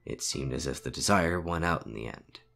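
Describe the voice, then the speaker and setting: Very deep, gravelly male narrator. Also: animated, moderate pace, pushed and bright. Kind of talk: dramatic scripted fan fiction narration.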